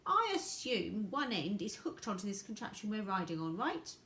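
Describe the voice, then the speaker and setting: A woman's voice: mid-range voice, very animated, moderate pace, bright, forceful tone, echoey room, a little hiss or hum. A woman voicing a suspicious, outspoken old grandmother from a storybook, complaining indignantly. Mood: skeptical.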